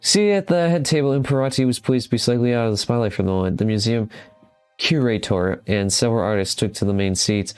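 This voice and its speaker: A man with a fairly low voice hosts a casual gaming video. He talks informally and reads on-screen text out loud, sometimes tripping over it. Light music plays behind him. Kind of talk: casual game commentary and reading game text aloud.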